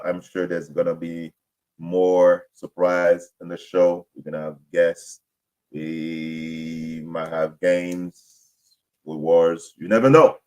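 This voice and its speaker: A man's voice: sits low, a little mellow. A man hosting a solo podcast, speaking English as a second language after French and Haitian Creole, rambling and correcting himself as he goes. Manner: rambling, self-correcting, apologetic. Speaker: podcast host. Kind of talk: casual solo sports talk.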